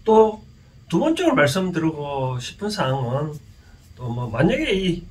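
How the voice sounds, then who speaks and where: A man with a Gyeongsang Korean accent giving an informal explanatory lecture, speaking haltingly and often putting rhetorical questions to his listeners. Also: a little high, sing-song, darkish tone. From Gyeongsang region, South Korea. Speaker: lecturer and counsellor. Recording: distant mic with faint background noise.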